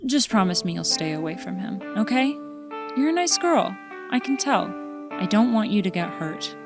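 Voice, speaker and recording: A woman playing a protective, frank teenage high-school girl in a comic fan dub. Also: very animated, normal speed, creaky, over music.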